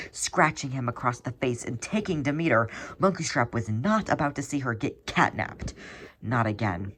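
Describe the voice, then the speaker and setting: A mid-pitched voice reads a piece of fan fiction aloud. The delivery is scripted narration, told in an expressive and dramatic storytelling manner. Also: slight background noise.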